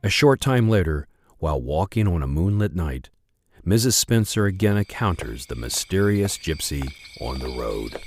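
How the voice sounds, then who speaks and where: A man narrating a period radio drama in a measured way, with dramatic pauses. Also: sits low, very animated, darkish tone, faint music behind. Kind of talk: scripted storybook narration.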